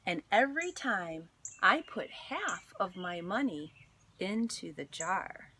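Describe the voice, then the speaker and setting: A woman reads a children's story aloud like a teacher, at an unhurried pace with very lively intonation. She pauses for dramatic effect and phrases deliberately. There is clear background noise in the recording. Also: fairly low pitch. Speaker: teacher reading aloud to students.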